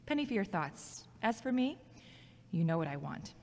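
A woman's voice: medium pitch, sing-song, moderate pace, a little mellow. A woman acting a worried, frank woman who prays in a wry, informal way in an audio drama. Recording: echoey hall with long reverb.

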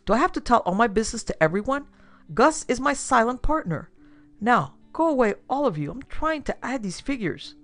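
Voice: mid-range voice, moderate pace, gravelly, long pauses, darkish tone, no echo, faint music behind, close to an everyday voice. A narrator voicing a short, irascible older businessman and father, barking and exasperated, in an audiobook story.

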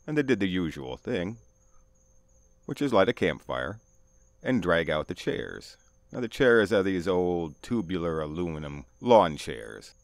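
A man's voice: very deep voice, dry recording. A middle-aged man narrating a first-person spooky story in a conversational, folksy way. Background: music.

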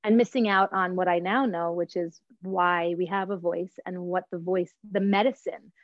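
A middle-aged woman tells her story with lively, expressive energy, often checking in with a quick "right?". Her voice comes through a video call with a dull, slightly lo-fi tone and a dry, close sound. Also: on the low side, dry recording. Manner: expressive, gestures while talking, frequent "right?". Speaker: guest, voice teacher and singer. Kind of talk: animated interview storytelling. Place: home room with bookshelves.

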